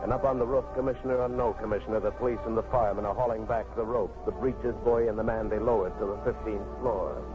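A man's voice: lowish voice, fairly even intonation, fast, few pauses, lightly acted. Dramatic American male narrator an actor, confiding storyteller for vintage radio suspense, muffled sound under music. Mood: suspenseful. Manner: dramatic, present-tense, confiding. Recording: dull vintage radio recording.

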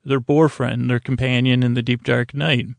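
Male podcast host for bedtime listening: very creaky, flat delivery, halting and meandering, often abandoning sentences midway. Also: mid-range voice, no echo. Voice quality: creaky, gravelly. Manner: meandering, halting, repetitive, trails off mid-sentence. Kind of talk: rambling improvised sleep podcast monologue.

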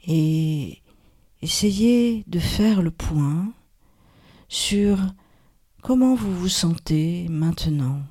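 A woman guides a relaxation meditation in a calm, soothing manner, leaving long pauses. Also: fairly low pitch. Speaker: yoga nidra guide, host.

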